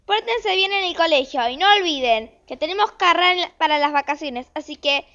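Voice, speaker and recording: A harried Argentine mother acted by a woman in a homemade radio play, very high and bright in voice. She orders her family about in an exasperated, commanding way, on a slightly muffled recording. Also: expressive, a little fast, exaggerated voice. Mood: bossy. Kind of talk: amateur radio drama acting.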